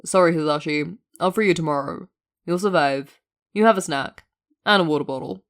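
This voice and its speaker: A woman voicing a calm, stubborn man who talks in a dry, matter-of-fact way in an audio story. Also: low voice, moderate pace. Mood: dry, unbothered.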